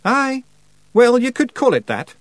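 A man's voice: very high-pitched, very animated, a little slow, no room sound, pitched above the performer's own voice, full character voice. A man voicing a cheeky, earnest tiny male fairy clansman with a Scottish accent in an audiobook. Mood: knowing. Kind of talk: audiobook character voice.

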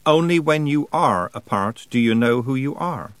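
A man voicing a mad male spirit of winter, talking slowly and dreamily with a chilling calm. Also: medium pitch, smooth, no room sound, pitched above the performer's own voice, lightly acted. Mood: dreamy. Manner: slow, dreamy, cheerfully chilling.

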